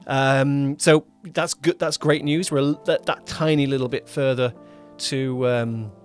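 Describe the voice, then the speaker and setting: A man's voice: mid-range voice, steady tone, measured pace. Man chatting casually over background music. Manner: rambling, self-correcting. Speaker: podcast co-host and producer. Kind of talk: casual chat about a production.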